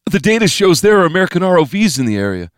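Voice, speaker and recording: A male narrator puts on a frightened younger man for an audiobook. He speaks in a raised pitch, uneasy and hesitant. The tense delivery comes through a very dry recording. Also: expressive, normal speed, breathy edge, no room sound. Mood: nervous, reluctant.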